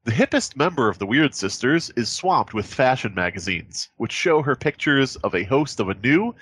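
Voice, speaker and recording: A man with a very animated, swooping delivery chats casually as a podcast host. He jokes and swears freely in loose comedic banter, recorded dry and close. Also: mid-range voice, moderate pace, slightly rough, no room sound. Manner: profane, joking banter.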